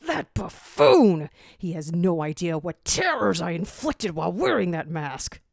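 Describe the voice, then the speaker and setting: A woman's voice: on the low side, sing-song, unhurried, gravelly, no room sound, heavily acted. Cocky jackal warlord, played by a woman, commanding, grandiose, audio fan story, close dry sound. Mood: scornful. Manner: commanding, self-assured, grandiose. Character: cocky male jackal warrior commander, smug and scheming.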